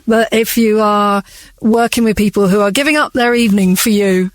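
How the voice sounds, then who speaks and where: A woman's voice: medium pitch, fairly even intonation, normal speed, no room sound. A woman speaking conversationally and earnestly as a director being interviewed on the radio.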